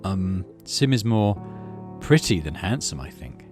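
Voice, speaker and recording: A man's voice: sits low, very animated, unhurried, music in the background. A man voicing a shy, earnest teenage boy who stammers and mumbles in a visual novel game. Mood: mumbling, bashful.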